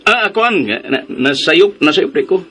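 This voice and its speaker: An actor in a radio drama playing a smug, pushy, jealous man who teases and scoffs in a theatrical, drawn-out way. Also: a little high, expressive, sharp tone, a little hiss or hum. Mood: awkward, flustered.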